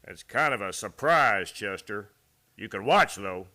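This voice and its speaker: A menacing cowboy villain from a radio Western, played by a man. His American drawl swoops widely and cuts through with a hard, bright edge, full of folksy mockery. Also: on the low side, animated, unhurried. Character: menacing, mocking male cowboy bully. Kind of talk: Western radio play acting.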